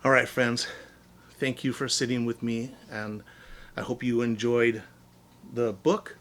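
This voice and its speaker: A male teacher reads a children's story aloud. His voice is bright and crisp-edged, and he takes his time, phrasing things simply for young listeners. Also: medium pitch, flat delivery. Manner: deliberate, explains simply to children.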